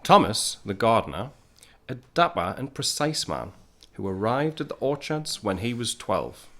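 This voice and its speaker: A man's voice: fairly low pitch, big pitch swings, measured pace, a bit bright, exaggerated voice. An actor playing a wistful young man home from the war, narrating an audio play as a storyteller. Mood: observant. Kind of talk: scripted first-person narration in an audio play.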